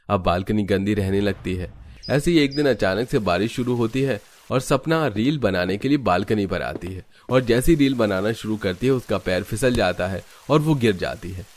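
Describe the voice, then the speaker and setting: A man's voice: on the low side, a little hiss or hum. A man narrating a scripted cartoon family story.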